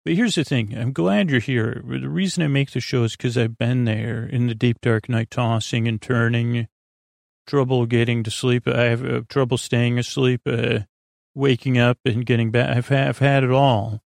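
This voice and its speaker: Male podcast host, gravelly creak, dry close sound, meandering bedtime monologue, hesitant, reassuring. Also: fairly even intonation, normal speed, a little mellow, no room sound. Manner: low-pressure, reassuring.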